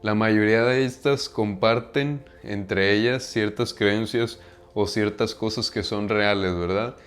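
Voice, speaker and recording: A man's voice: fairly low pitch, fairly even intonation, slow pace, clear, resonant tone. A man from Mexico with a Mexican accent, talking casually to his viewers about self-improvement, often tagging his sentences with a questioning "right?" and using slang. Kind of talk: casual monologue to camera.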